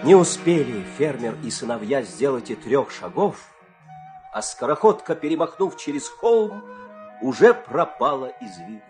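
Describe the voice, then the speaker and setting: A man narrating a children's fairy-tale radio play with dramatic, expressive storytelling delivery. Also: medium pitch, moderate pace, soft background music.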